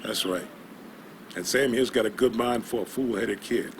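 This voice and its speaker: Gruff old father over noisy ambience acted by a man. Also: fairly low pitch, normal speed, close to an everyday voice. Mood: grumbling. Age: older. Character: stubborn, protective old father who cannot walk. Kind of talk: video game drama acting.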